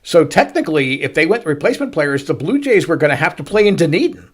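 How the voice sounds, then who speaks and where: A middle-aged man hosting a baseball show, telling a story to listeners with emphatic, dramatic pauses and stretched-out words. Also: on the high side, big pitch swings. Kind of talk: storytelling sports commentary to listeners.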